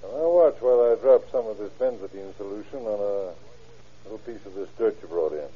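A patient, obliging country doctor in a vintage radio play. His lowish, rough voice explains things plainly through a thin, tinny old recording. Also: fairly quick. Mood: explaining. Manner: folksy, explanatory. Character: obliging, patient small-town doctor explaining lab tests. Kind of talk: old-time American radio drama acting.

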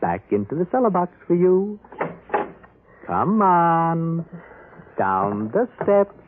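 A man's voice: fairly high pitch, sing-song, takes it slowly, narrow, tinny audio, background noise. A man playing a smug, scheming, genial husband in an old-time radio drama, with a breezy theatrical manner. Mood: brisk, impatient. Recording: band-limited old radio broadcast recording.

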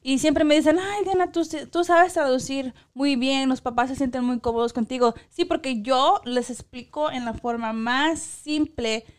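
High-voiced chatty woman. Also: normal speed, hardly any pauses, smooth. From Chicago. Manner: code-switching, animated, laughs often. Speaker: co-host, school translator. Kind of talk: casual chat.

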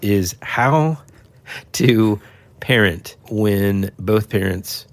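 A man's voice: fairly low pitch, drawn-out words, no room sound. A man co-hosting a podcast in a casual chat. He sounds nasal and stuffed up as he gets over an illness, rambling in a laid-back way. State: congested, recovering from illness. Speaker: podcast co-host.

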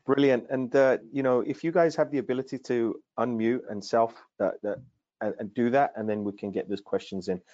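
A man hosting a video-call interview, introducing his guest in a friendly, halting way with lots of fillers. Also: medium pitch, steady tone, dark tone. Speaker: podcast host, coaching director. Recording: dry, dull call audio.

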